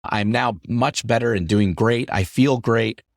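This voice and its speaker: A middle-aged man hosting his podcast in an upbeat, chatty way, his voice deepened by a lingering cough after an illness. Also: measured pace, no room sound, clean studio sound. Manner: upbeat, chatty, repetitive phrasing. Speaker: podcast host. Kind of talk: solo podcast talk.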